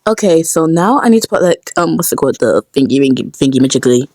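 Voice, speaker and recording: A woman's voice: low voice, lively intonation, fast, a little mellow, no room sound, studio quality. A woman chatting casually and playfully muttering to herself as she makes videos. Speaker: video creator making comic dubs. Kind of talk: casual behind-the-scenes chat.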